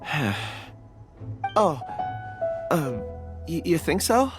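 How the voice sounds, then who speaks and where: An actor playing a hesitant, mild-mannered young male companion in a video game, speaking haltingly. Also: a little high, sing-song, music in the background, heavily acted. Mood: unsure, subdued.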